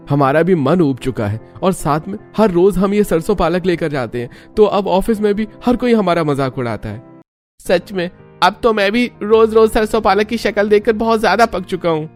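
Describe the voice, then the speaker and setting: Man playing a high-voiced fed-up grown son. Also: normal speed, faint music behind, lightly acted. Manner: complaining. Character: fed-up adult son, office worker, protesting. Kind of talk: Hindi cartoon family story.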